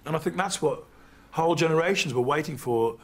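A man speaking in a documentary interview, reflective and lively, recorded in a living room. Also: fairly high pitch, a little fast, vocal fry. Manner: reflective, mimics voices when quoting. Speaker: interviewee, comedian.

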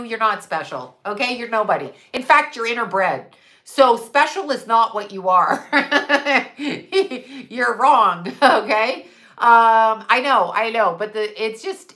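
A middle-aged woman rants bluntly and profanely on a home live stream, her nose stuffy. Her voice is bright and cutting and sits a little high. She is caught by a webcam at desk distance in a room at home. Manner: blunt, profane, emphatic with hand gestures. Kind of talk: casual live-stream rant.

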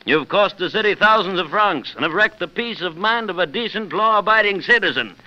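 An actor playing a stern, shrewd French police chief in 1930s radio style, formal, deliberate and commanding. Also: male voice, high voice, lively intonation. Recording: muffled old 1930s radio recording.

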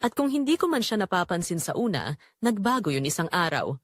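Young female barista narrator acted by a woman for romance audio drama: reflective, quietly romantic, very varied intonation, dry recording. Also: lowish voice, very animated, a little fast, no room sound. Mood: curious, observant. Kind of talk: first-person scripted audio drama narration.

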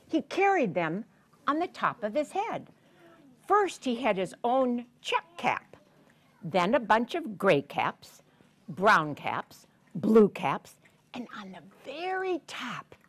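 An older woman telling a story to young children in a theatrical, deliberate way, drawing out words and putting questions to her listeners. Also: medium pitch. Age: 60s or older. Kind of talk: animated read-aloud storytelling to young children. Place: children's story room in a public library.

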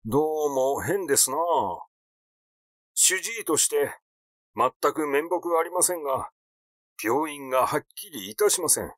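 A man's voice: a little high, expressive, measured pace, a bit bright, no room sound, clean studio sound. A man voicing a cautious, defensive male doctor in an audiobook, formal and dismissive in manner. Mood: apologetic.

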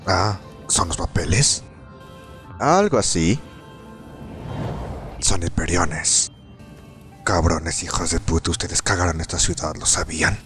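A crude, menacing bandit boss with a low, growled voice, as a man puts him on. Faint game music plays underneath. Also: takes it slowly, long pauses, a bit bright. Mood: threatening. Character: gruff menacing male bandit leader, crude and threatening. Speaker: fan dubber voicing all male parts. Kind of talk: fan dub of a game.

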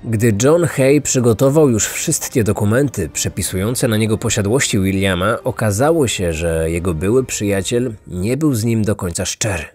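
A man's voice: on the low side, animated, moderate pace, few pauses, darkish tone. A man hosting a true-crime podcast, reading a scripted narration with music behind him. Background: background music.

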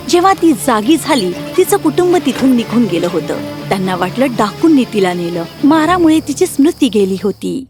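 A woman tells a fairy tale as narrator. Her medium-pitched voice swings expressively through the scripted story, with soft music behind it.

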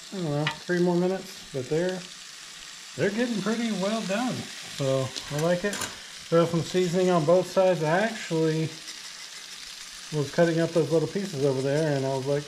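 A man's voice: on the high side, moderate pace, noisy background. Chatty man vlogging his meal prep. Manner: chatty, joking, self-deprecating, lots of fillers. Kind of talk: casual cooking vlog, talking to camera. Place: home kitchen, indoors.

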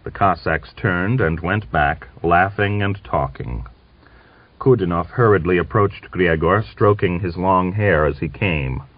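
Male audiobook narrator, low register, close and dry, on a slightly dull older recording. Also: unhurried, no room sound. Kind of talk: scripted literary narration.

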